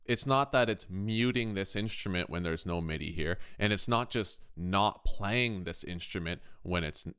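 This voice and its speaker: A man's voice: low voice, normal speed, fairly bright tone, smooth. A man hosting an informal music production tutorial, conversational and thinking aloud as he explains, often checking in with the listener. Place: indoors, voice-over on a screen recording.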